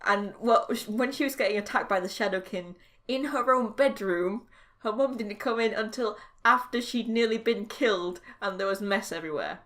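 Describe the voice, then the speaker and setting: Yorkshire woman, mid pitch, dry and wry in casual chat. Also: normal speed, a bit bright. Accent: soft Yorkshire English. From Yorkshire, England. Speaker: podcast co-host.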